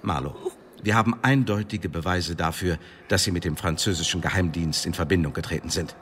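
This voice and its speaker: An actor playing a cold, sardonic Elizabethan secret-service interrogator in a German radio drama, speaking in a pedantic, condescending, formal way. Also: male voice, deep voice, a little fast, fairly bright tone, a little hiss or hum. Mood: coolly menacing.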